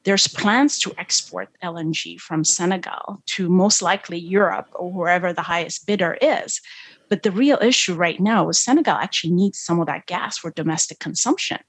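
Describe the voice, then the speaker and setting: A woman's voice: on the low side, breathy edge, no echo. A middle-aged woman from Ethiopia speaking as an interview guest, earnest and emphatic, often checking in with "right?" and "you know". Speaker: guest, development finance expert. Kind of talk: interview conversation.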